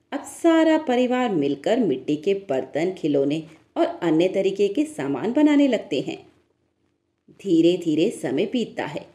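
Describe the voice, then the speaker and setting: A woman's voice: lowish voice, mellow. A woman narrator telling a scripted moral story in a storytelling style.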